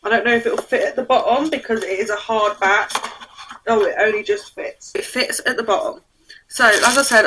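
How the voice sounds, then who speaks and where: A young woman chatting about books for her channel, a bit croaky from a cold, reading blurbs aloud and tripping over names. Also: medium pitch, noisy background. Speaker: book vlogger. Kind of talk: casual book haul chat.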